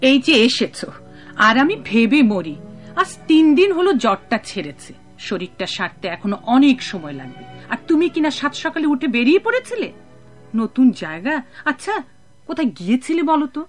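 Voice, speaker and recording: Lively, high-voiced motherly innkeeper. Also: moderate pace, soft background music. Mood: fond scolding. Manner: expressive, fussing, scolding yet caring. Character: kindly, motherly woman innkeeper, brisk but hospitable. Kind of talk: Bengali radio drama acting.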